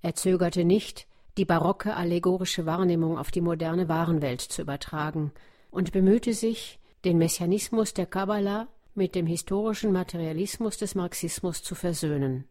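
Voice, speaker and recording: A woman's voice: on the low side, normal speed, mellow. A woman reading a scripted documentary narration.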